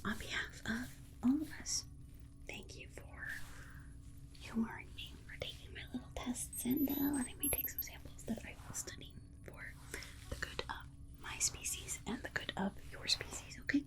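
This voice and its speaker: A woman playing a polite, condescending alien scientist in an ASMR roleplay, whispering in a soothing, coaxing manner with breathy delivery. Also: sits high, moderate pace. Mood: politely reassuring. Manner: soothing, coaxing, hesitant asides. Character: alien scientist, polite, reassuring, breezily condescending toward humans.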